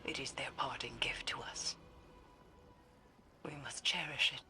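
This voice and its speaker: A woman playing a solemn, haunted mystic in a video game, speaking gravely and portentously. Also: a bass voice, unhurried, bright, forceful tone, in a whisper, soft background music, exaggerated voice. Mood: tender grief. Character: solemn, haunted mystic woman who endured great loss. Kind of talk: dramatic video game acting. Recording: clear ambient noise behind.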